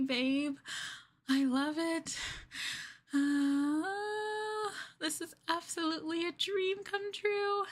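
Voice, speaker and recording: A woman voicing a sweetly possessive, obsessive young girlfriend in an ASMR roleplay, coaxing and cooing between soft speech and whispers. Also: high-pitched, voice pushed up. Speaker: ENVtuber streamer performing ASMR roleplay.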